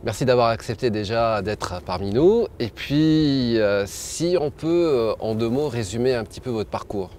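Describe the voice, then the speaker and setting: A man's voice: mid-range voice, expressive, moderate pace, few pauses, a little mellow, slight background noise. A man hosting an interview, asking polite, hesitant questions with fillers. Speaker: interview host.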